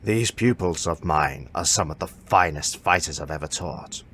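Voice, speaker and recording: A man playing a stern older martial arts master in an audio drama, measured and authoritative as he instructs. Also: rumbling low, a bit bright, slight background noise.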